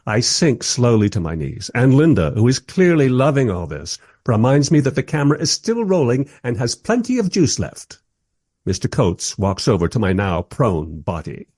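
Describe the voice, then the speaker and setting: A man plays a modern filmmaker recounting events in a radio drama. His voice is middle-pitched and slightly rough, with animated intonation that suits his wry, observant storytelling. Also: moderate pace, no room sound, studio quality. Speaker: narrator. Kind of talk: scripted radio play narration.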